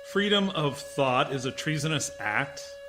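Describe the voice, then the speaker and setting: A man's voice: on the high side, animated, a little slow, over music. A man playing a suspicious, sardonic and defiant military commander in a science fiction radio play, impatient and dryly sarcastic. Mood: sardonic, wary.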